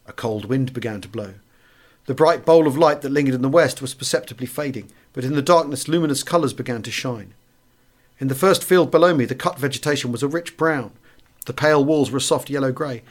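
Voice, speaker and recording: A man narrating a wartime memoir as a scripted audiobook reading. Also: medium pitch.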